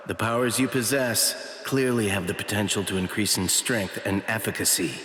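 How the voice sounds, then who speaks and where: An actor playing a sly, breezy shopkeeper in an anime game dub, with a glib, theatrical, playful way of talking. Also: male voice, on the low side, a bit bright, husky. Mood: grave, measured. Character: sly, breezy shopkeeper hiding great power, cheekily self-flattering.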